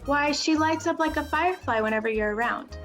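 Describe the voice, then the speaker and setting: A woman's voice: high-pitched, fairly quick, a bit bright, soft background music. A woman playing a loving, meddling middle-aged mother in a 1940s-style radio play, coaxing and knowing. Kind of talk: 1940s radio drama acting.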